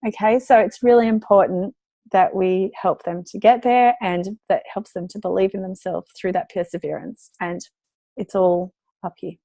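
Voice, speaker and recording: Slightly hoarse woman chatting to parents. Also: medium pitch, steady tone, normal speed, dark tone, no room sound. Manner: chatty, encouraging, lots of fillers. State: losing her voice. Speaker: parenting educator. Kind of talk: casual talk to parents.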